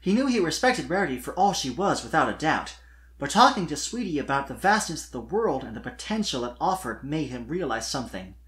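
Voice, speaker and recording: An expressive storyteller narrates scripted fiction with highly animated intonation. The voice sits in a middle range and swings up and down through each sentence. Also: brisk.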